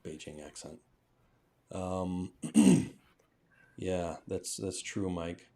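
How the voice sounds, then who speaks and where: A man with an American accent and a very low voice talks through language questions on a live stream. He speaks casually, working out his thoughts as he goes and adding little checking tags. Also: fairly even intonation, very slow, dark tone. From southern United States. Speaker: language teacher hosting a livestream.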